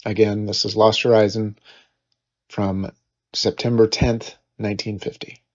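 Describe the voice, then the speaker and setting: A man giving a casual, halting introduction as a podcast host. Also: fairly low pitch, flat delivery, lo-fi sound.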